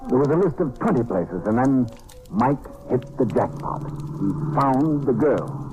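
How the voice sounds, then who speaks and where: An actor playing a shrewd American investigator in an old-time radio detective drama, speaking in a clipped, decisive, matter-of-fact way. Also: male voice, mid-range voice, lively intonation, a little slow, music in the background, close to an everyday voice, low-quality recording. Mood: grim storytelling. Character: hard-boiled American male investigator, shrewd and decisive, narrating his case. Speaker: lead actor and narrator.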